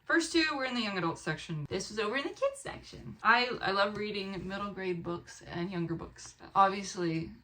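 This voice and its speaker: A woman vlogger chatting casually and excitedly to the camera, animated and rambling with expressive asides. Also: medium pitch, normal speed, few pauses, slight background noise. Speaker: book haul vlogger and fiction author.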